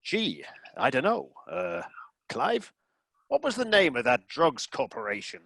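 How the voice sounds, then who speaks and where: An actor playing a boastful, wealthy tycoon in a comedy radio play, folksy and full of crude jokes. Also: male voice, mid-range voice, huge pitch swings, measured pace, vocal fry, a bit bright, no echo, studio quality, heavily acted. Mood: smug, folksy. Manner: boastful, folksy, innuendo-laden.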